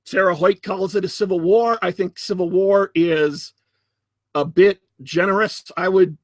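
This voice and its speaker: A man hosting a podcast, giving solo commentary in a deliberate, emphatic way with sardonic asides. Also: high voice, fairly even intonation, no echo. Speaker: podcast host.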